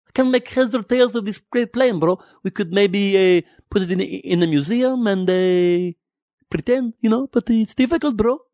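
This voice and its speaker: A man's voice: high voice, moderate pace, a little mellow, no echo, voice pushed up. A man putting on the voice of a flustered scientist who keeps saying "bro" and rambles helplessly. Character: flustered male scientist, casual and overwhelmed.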